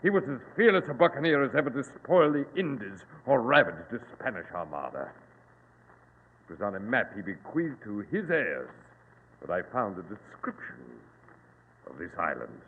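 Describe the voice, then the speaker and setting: An actor playing a menacing, boastful pirate captain in formal, grandiloquent old-time radio drama style. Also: male voice, very animated, moderate pace, husky, big-room sound, heavily acted. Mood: proud boasting. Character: menacing, boastful modern pirate captain holding captives at gunpoint. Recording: muffled, noisy old radio recording, boomy.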